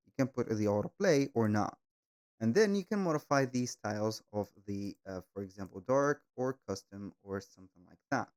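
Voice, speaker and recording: Man with lively, swinging pitch giving a tutorial. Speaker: tutorial host. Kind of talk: step-by-step software tutorial narration.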